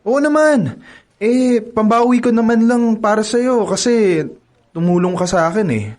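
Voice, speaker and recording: A cocky young campus heartthrob. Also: male voice, sits high, a little slow, a little mellow. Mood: playful. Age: young adult. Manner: cocky, teasing, playful. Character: smug handsome young college heartthrob. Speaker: narrator and lead character. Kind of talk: radio drama acting.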